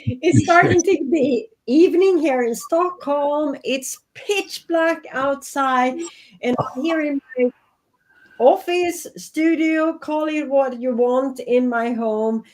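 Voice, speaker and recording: A woman's voice: high voice, slow, darkish tone, poor audio. A woman in her fifties from Sweden with a Swedish accent, speaking earnestly as a guest over a video call from her home office. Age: 50s. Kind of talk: reflective interview talk.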